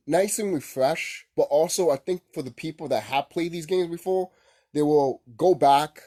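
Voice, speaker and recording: A man's voice: mid-range voice, mellow. A man giving a casual, unscripted opinion rant as a gaming commentator, blunt and repetitive with heavy swearing.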